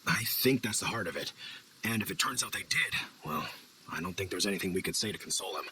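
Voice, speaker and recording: A friendly middle-aged hunter, speaks like a caring father and mentor in a video game. The voice is bright and very expressive, with thoughtful conversational phrasing over faint ambient noise. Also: low voice, quick pace, low-quality recording. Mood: thoughtful, concerned. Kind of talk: video game character acting.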